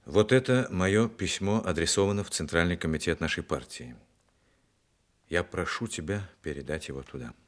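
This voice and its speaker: A man acts out an aging, proud Communist officer near the end of his life, in a very low voice. He declaims gravely and earnestly, in measured phrases, in the manner of Soviet radio theatre. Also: natural voice. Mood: solemn, earnest. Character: dying old war veteran, principled, proud Communist officer. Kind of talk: Soviet radio drama acting.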